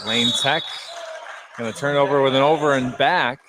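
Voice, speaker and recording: A man's voice: medium pitch, normal speed. A man doing live play-by-play radio commentary on basketball, describing the action in short, clipped phrases. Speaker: play-by-play announcer. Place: indoor basketball gym, courtside broadcast. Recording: noisy gym sound, bouncing balls. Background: loud gym ambience, basketball bounces.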